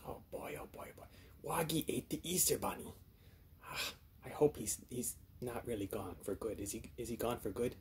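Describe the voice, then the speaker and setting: A man voicing a friendly, childlike little monster puppet, excitable and easily flustered, exclaiming in repeated phrases. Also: medium pitch, normal speed, a little hiss or hum, pitched above the performer's own voice, natural voice. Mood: dismayed. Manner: repetitive, wide-eyed exclaiming. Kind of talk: children's puppet show skit.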